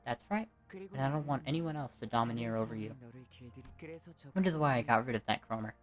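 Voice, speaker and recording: A calm, cryptic male stranger in an amateur game-story dub, read by the narrator. He speaks in a measured, airy way with quiet authority. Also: medium pitch, music in the background, natural voice. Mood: serene.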